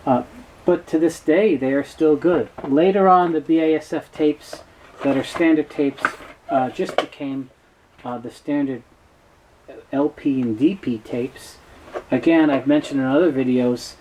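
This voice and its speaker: Mellow middle-aged man explaining, noisy room. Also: a little high. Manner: halting, matter-of-fact, explanatory. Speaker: presenter, tape specialist. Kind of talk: unscripted explainer. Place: cluttered indoor workroom with shelves. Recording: clear background noise, room ambience.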